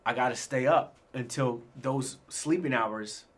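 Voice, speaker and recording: A man's voice: mid-range voice, measured pace. A man co-hosting a live show, talking loosely and often repeating himself. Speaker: co-host. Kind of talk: casual live show chat.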